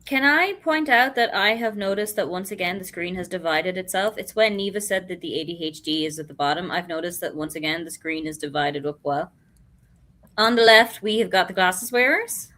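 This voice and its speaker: A woman's voice: fairly low pitch, normal speed, fairly bright tone. A woman on a video call making wry, teasing observations as she moves the chat along. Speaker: co-host.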